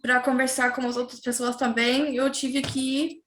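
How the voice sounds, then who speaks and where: A woman's voice: sits high, flat delivery, a little slow, a bit bright, a little hiss or hum. Woman, hesitant, in an echoey room. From Germany. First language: German. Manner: hesitant, searching for words, many fillers. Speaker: guest. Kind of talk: casual interview chat.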